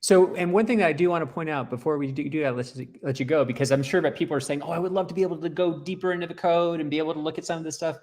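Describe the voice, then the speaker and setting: A man's voice: on the high side, very fast, few pauses, a little mellow. A man hosting a tech show in a casual presenting style, explaining things in wordy run-on sentences. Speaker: show host.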